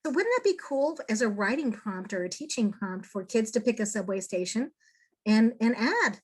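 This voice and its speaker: A woman's voice: a little high, expressive, normal speed, slightly rough. Enthusiastic woman interview host. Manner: enthusiastic, encouraging. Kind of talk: casual interview.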